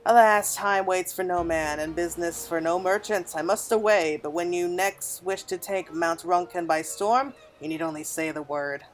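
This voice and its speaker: Woman reading as a florid, self-satisfied gentleman merchant. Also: fairly low pitch, normal speed, few pauses, natural voice. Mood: brisk, boastful. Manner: florid, archaic, theatrical storytelling diction. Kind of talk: reading game cutscene dialogue aloud. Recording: game music behind the voice.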